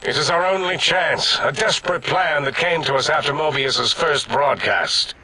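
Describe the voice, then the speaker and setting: Pompous, bright-voiced robot scientist. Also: male voice, a little high, normal speed, breathy edge, dry recording, heavily acted, low-quality recording. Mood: grave, grandiose. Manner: grandiose, pedantic declaiming. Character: pompous, imperious robot scientist brain, cowardly and squeamish. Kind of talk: video game character acting.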